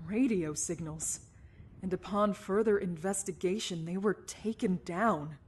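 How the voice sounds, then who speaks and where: A woman's voice: mid-range voice, unhurried, slightly rough. A woman playing an eager, curious scientist. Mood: analytical. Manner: analytical, excitable. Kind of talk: fan audio drama acting.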